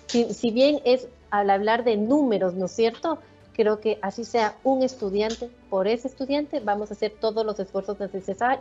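Middle-aged woman, mellow tone, steady formal presentation, muffled lo-fi audio. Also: medium pitch. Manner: measured, reads points in order. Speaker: national coordinator of hospital classrooms. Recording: dull lo-fi video call audio.

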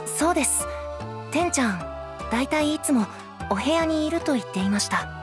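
A woman voicing a sweet, earnest little cartoon boy who speaks gently and politely. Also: high-pitched, animated, normal speed, music in the background. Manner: gentle, pleading, well-mannered.

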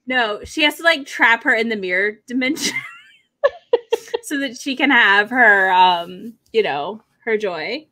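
A woman's voice: fairly high pitch, very animated, slow, bright, forceful tone. A young adult woman in casual, informal book chat, speaking as a panelist on a video call. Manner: informal, profane. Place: indoor home room on a video call.

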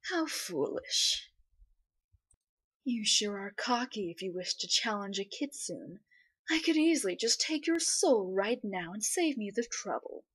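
Woman as a haughty, slow kitsune fox spirit. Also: medium pitch, lively intonation, a bit bright, breathy edge, no echo. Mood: scornful.

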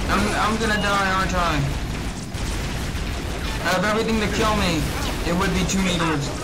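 A young man giving casual, excitable gameplay commentary, often muttering to himself and exclaiming as he plays. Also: on the high side, heavy background noise. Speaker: let's play gamer. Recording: loud game music and gunfire behind. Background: loud video game music and gunfire.

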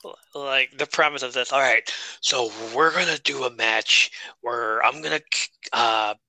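A man's voice: medium pitch, measured pace, bright, forceful tone, no echo, poor audio. A man co-hosting a casual wrestling podcast, chatty and joking as he reads through his notes. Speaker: podcast co-host. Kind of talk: casual wrestling review chat.

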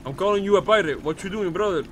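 A man's voice: sits high, fast, slight background noise. A man roleplaying a police officer, barking commands and mixing exclamations into his English. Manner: barks commands, mixes Spanish into English, repeats himself. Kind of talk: in-character roleplay.

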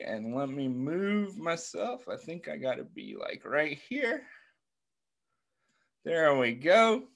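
A middle-aged American man reads a picture book to small children. His voice sits a little high for a man and moves very slowly. He keeps putting questions to his listeners and encourages them with praise. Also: a bit bright. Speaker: children's story time host. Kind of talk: interactive picture book read-aloud for young children. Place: indoors on a video call.

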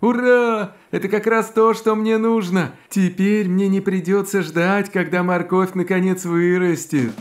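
High-pitched, eager little cartoon cook. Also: male voice, flat delivery, measured pace, few pauses, faint music behind. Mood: excited, impatient. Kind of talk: animated children's cartoon dialogue.

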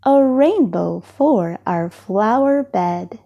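A woman with a slightly husky voice reading a children's storybook aloud, expressively and with deliberate phrasing for young listeners. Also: mid-range voice, expressive, muffled recording. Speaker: storybook reader.

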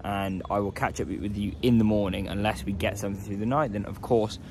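Young male vlogger by a lake, deeper voice, laid-back and rambling, phone mic at arm's length. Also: steady tone, brisk, background noise. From England. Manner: low-key, resigned, chatty with many "um"s. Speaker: carp fishing vlogger. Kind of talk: casual vlog to camera. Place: outdoors by a lake. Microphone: phone camera held at arm's length.

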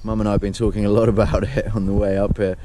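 A man in his forties vlogging outdoors by a motorhome on a camera held at arm's length, talking softly and thoughtfully. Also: little pitch movement, fast talker, a little hiss or hum. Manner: soft, reflective, breaks into delighted high chatter with animals. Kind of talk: casual travel vlog. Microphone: action camera held at arm's length.

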